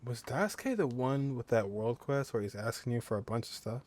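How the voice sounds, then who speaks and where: Male gaming streamer, mid-pitched, casual, thinking out loud during play. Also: animated, moderate pace. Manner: musing aloud to himself. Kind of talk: casual let's-play commentary.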